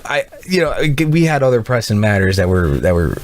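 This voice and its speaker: A man's voice: fairly low pitch, sing-song, fairly quick, slight background noise. A man co-hosting a casual podcast, rambling with lots of repeated words and swearing, close to his mic. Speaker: podcast co-host. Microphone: close studio mic.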